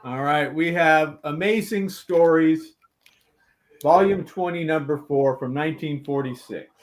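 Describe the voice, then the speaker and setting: A man's voice: on the high side, unhurried. Dry-humored male panelist, mock-stern. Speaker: panel guest. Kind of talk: casual panel chat.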